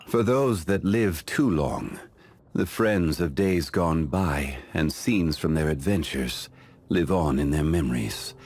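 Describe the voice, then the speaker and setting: A man playing an ancient, calm, dignified gentleman in a video game, speaking in a formal, measured, reflective way. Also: rumbling low, breathy edge, full character voice. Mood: wistful, warm. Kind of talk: scripted video game character voice lines.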